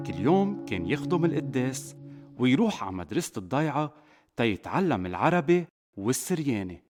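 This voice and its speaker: A man with a Lebanese accent narrating a scripted children's story as a father would, in an expressive way. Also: medium pitch. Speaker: narrator, a father telling a saint's life to children.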